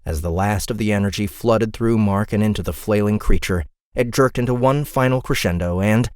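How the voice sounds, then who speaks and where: A man's voice: on the low side, normal speed, a little mellow, clean tone, dry recording, studio quality. A man reading dramatic scripted fantasy narration as an audiobook narrator.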